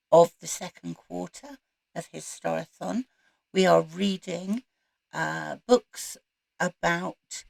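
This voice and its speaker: A woman with a croaky voice, recovering from voice loss, chatting casually to camera as the host of a book channel. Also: fairly low pitch, no room sound. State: croaky, recovering from losing her voice. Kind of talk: casual chat to camera about reading plans.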